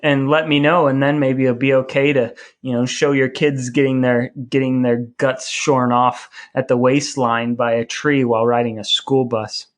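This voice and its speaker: A man's voice: medium pitch, little pitch movement, normal speed, hardly any pauses. Male co-host, thirties to forties, mid-range voice, wry and rambling, casual conversational storytelling. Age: 30s or 40s. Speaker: podcast co-host. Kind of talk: casual bantering chat.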